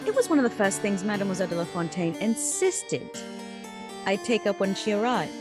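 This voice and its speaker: An actress playing a sheltered, eager young gentlewoman in a radio play, with an earnest, measured delivery. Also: medium pitch, sing-song, music in the background, natural voice. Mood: curious. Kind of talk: dramatic radio play acting.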